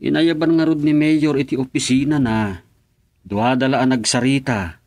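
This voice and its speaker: An actor playing a husband who calmly reassures his wife in a radio drama. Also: medium pitch, normal speed, dry recording, lightly acted. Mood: reassuring.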